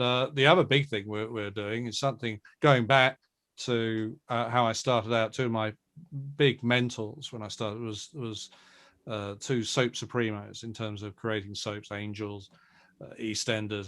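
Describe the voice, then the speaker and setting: A man's voice: lowish voice, flat delivery, normal speed, a bit bright. A man speaking as a producer guest in a casual, conversational interview.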